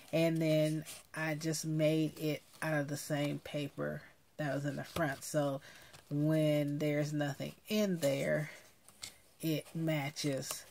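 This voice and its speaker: A woman hosting a craft video, casually explaining her handmade project in a halting way. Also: low voice, creaky, slight background noise.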